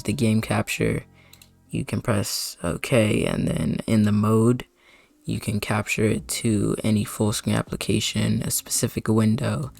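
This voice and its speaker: A man talking through a software setup in a loose, unscripted way at his computer mic, with frequent fillers and sentences that trail off. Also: on the low side, vocal fry. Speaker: streamer giving a tutorial. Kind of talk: casual screen-recording walkthrough. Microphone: desktop mic at a computer.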